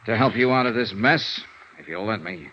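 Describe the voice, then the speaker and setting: A man's voice: on the low side, lively intonation, slight background noise, natural voice. An American man acting a tough, blunt, persistent insurance investigator in a vintage radio detective drama. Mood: dry, blunt. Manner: brisk, blunt, hard-boiled, pressing. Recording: dull lo-fi vintage radio recording.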